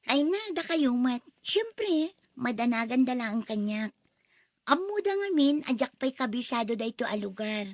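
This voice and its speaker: High-pitched coy young woman played by an actress. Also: big pitch swings, a little slow, no room sound, clean studio sound, full character voice. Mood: friendly, uneasy. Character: young female office worker, coy, torn over brother-in-law. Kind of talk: radio drama acting.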